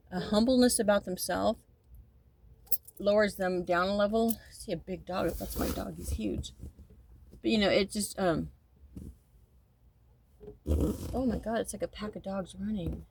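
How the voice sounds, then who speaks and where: A woman's voice: medium pitch, a little slow, vocal fry, pauses often, a little hiss or hum. A woman in her fifties talking in a casual, rambling monologue, often repeating and restarting her sentences, and at times turning emphatic and indignant. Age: 50s.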